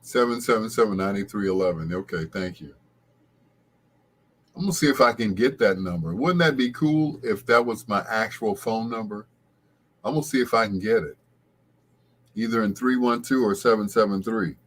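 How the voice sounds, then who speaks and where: A middle-aged American man with a husky voice hosts casual late-night radio, rambling along like a reminiscing storyteller. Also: lowish voice, normal speed. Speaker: radio show host.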